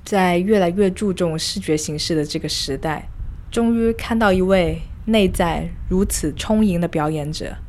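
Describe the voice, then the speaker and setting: A woman narrating a scripted first-person arts audio log in a reflective, measured, literary manner. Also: on the low side, darkish tone, a little hiss or hum. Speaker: host. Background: music.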